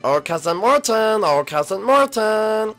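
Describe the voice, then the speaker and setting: A man's voice: high voice, very animated, unhurried, a bit bright, faint music behind, voice pushed up, full character voice. A man voicing a whiny, bratty young male turtle prince who stammers and hesitates.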